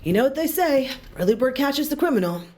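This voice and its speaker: An actress playing a brisk, wry police detective chief inspector in a science fiction audio drama, asking probing questions. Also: female voice, high-pitched, lively intonation, a little mellow, a little hiss or hum. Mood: chipper.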